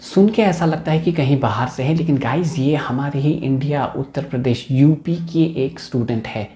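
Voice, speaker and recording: A man's voice: mid-range voice, moderate pace, dark tone, echoey room, soft background music. A man with an Indian accent, an English teacher giving a casual video lesson and mixing English into his talk. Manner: chatty, mixes English into the talk.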